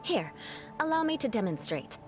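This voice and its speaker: A woman's voice: a little high, big pitch swings, normal speed, over music. A woman voicing a proud young aristocratic knight in an anime video game, haughty and formal. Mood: lofty.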